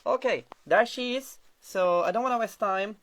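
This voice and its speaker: A man's voice: sits high, moderate pace, a bit bright. A man hosting an online teacher talk show over a video call, friendly and hesitant with many fillers and nonnative phrasing. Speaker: host.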